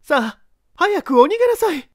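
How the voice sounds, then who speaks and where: A man's voice: very high voice, sing-song, normal speed, darkish tone, no room sound, studio quality, pitched above the performer's own voice. A man voicing an anxious young lady who speaks with urgency, in a storybook reading. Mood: urgent pleading.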